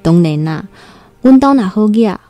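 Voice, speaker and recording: A woman's voice: mid-range voice, lively intonation, moderate pace, music in the background, lightly acted. An actress with a Taiwanese accent playing a timid, earnest young country girl new to the city in a radio drama. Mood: chatty, wistful. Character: timid nineteen-year-old country girl new in Taipei. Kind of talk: Taiwanese radio drama, first-person storytelling and dialogue.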